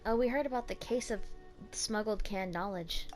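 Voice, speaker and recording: A woman's voice: mid-range voice, normal speed, soft background music. A woman streamer chatting casually as she plays and reacts to a video game.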